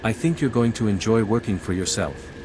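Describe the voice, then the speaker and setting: Man acting a low, composed adventurer. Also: expressive, fairly quick, noisy background, natural voice. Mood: calm, supportive. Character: calm, level-headed male adventurer leading a party. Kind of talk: video game voice acting.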